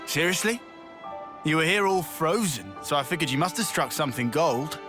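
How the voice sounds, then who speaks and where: An actor playing a blunt, easygoing young soldier with a British accent in a video game cutscene. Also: male voice, fairly high pitch, animated, normal speed, music in the background, close to an everyday voice. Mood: impatient, casual.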